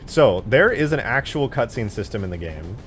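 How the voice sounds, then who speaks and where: A man's voice: mid-range voice, big pitch swings, normal speed, soft background music. A man livestreaming as a game modder, chatting casually in a rambling, jokey way as he answers viewers. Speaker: live streamer and game modder.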